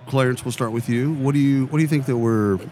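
A man's voice: medium pitch, fast, warm tone, a little hiss or hum. A man hosting a live podcast interview at an event booth, casual and friendly, affirming his guests often. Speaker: podcast host.

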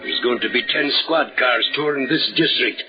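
A man's voice: mid-range voice, normal speed, lightly acted. An actor playing a talkative, blustering beat policeman with streetwise slang, in 1940s radio style. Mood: apologetic. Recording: muffled old-time radio recording, music.

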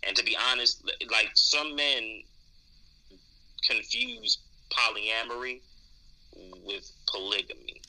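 A man's voice: medium pitch, lively intonation, slow, pauses often, pushed and bright, poor audio. A man in candid podcast conversation, speaking thoughtfully and hesitantly, often repeating words as he explains. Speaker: podcast co-host discussing dating.

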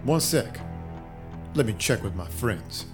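A wry detective over prominent music. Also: male voice, lowish voice, lively intonation, takes it slowly. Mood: dry, focused. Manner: dry, matter-of-fact, clipped. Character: wry, hard-boiled male vampire detective. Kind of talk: audio drama acting. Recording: music under the voice.

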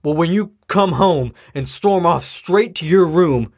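A man acting a weary, exasperated middle-aged father in an audio drama, halting and earnest as he pleads to be understood. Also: a little high, a little mellow, slightly rough.

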